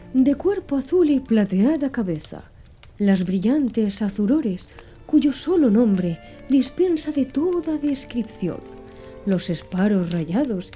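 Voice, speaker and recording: Female audiobook narrator, ASMR delivery: soft, measured, slightly high, very warm and mellow. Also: expressive. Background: faint background music.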